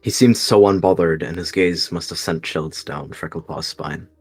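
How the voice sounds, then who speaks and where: A man's voice: deep voice, normal speed, a little mellow, breathy edge. A man narrating a scripted third-person animal story as part of a roleplay. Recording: music behind.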